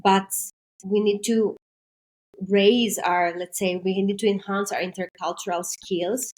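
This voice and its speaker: Woman, podcast guest, careful explanations, mid pitch. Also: fairly even intonation, measured pace, darkish tone. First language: Greek. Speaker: podcast guest, maritime trainer. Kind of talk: podcast interview.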